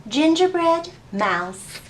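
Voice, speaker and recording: A woman leads a storytime for young children in a high, very lively voice that swoops up on questions. She takes her time, explaining and reading in a sing-song way. The sound is roomy and a little distant from the microphone. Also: drawn-out words. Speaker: children's library storytime host. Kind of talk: children's storytime instruction and picture-book reading. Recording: roomy, distant mic, faint background noise.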